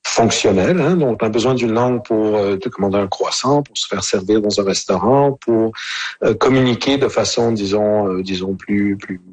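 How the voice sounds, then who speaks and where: A man's voice: on the low side, moderate pace, a little mellow, slightly rough, rough recording. A man, answering radio interview questions thoughtfully, with many hesitations and restarts. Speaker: author and interview guest.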